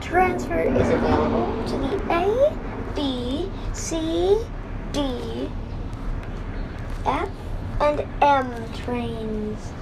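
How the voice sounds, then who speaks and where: High-pitched woman making slow transit announcements. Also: animated, gravelly. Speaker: subway train announcer. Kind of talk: scripted automated transit announcements. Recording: noisy recording with train rumble. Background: train ambience and vehicle noise.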